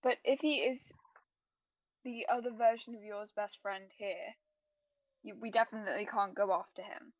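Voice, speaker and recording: A woman's voice: medium pitch, fairly even intonation, normal speed, pauses often, dry recording. A woman playing a tabletop role-playing game, talking things through casually in character with the other players. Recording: dull lo-fi dry voice recording.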